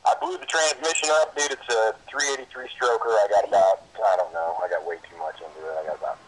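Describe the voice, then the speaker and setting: A man's voice: fairly low pitch, fast talker, husky, poor audio. A man talking casually on the phone in a slangy way, calling people man and dude. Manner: slangy, laid-back wording with frequent "man" and "dude". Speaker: truck seller on a phone call.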